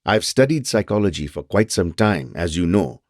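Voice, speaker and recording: A deep, dry-recorded fatherly man, a psychologist and mentor in an audio drama. He is earnest and measured, choosing formal, careful words. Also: big pitch swings, a little mellow, dry recording. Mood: grave, earnest. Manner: formal, measured, wordy phrasing.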